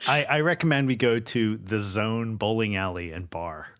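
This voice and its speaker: A man's voice: lowish voice, normal speed, fairly bright tone, no room sound. A man playing a tabletop role-playing game, bantering casually and improvising descriptions.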